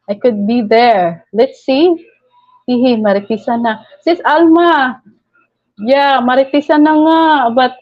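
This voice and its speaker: A woman's voice: a little high, dull audio. A woman from the Philippines with a Filipino accent, hosting a casual live stream, chatting and reading viewer comments aloud with frequent laughs.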